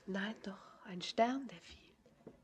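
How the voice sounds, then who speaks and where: An actress playing an innocent young country woman in a literary radio play, shy and tender, with a breathy, hesitant, earnest delivery. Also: medium pitch, slow. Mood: shy, wondering. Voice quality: very breathy, often near whispering.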